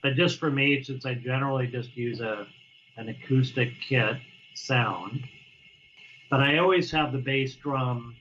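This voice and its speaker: A man talking casually as an interview guest, halting and restarting often as he explains how he plays drums. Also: mid-range voice, a bit bright, muffled recording, slight background noise. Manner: halting, many restarts and fillers. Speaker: guest drummer.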